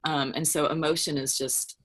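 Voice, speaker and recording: A woman's voice: sits low, fairly even intonation, normal speed, fairly bright tone. A woman talking casually about books as a podcast panelist, with an earnest, emphatic manner. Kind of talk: casual book discussion.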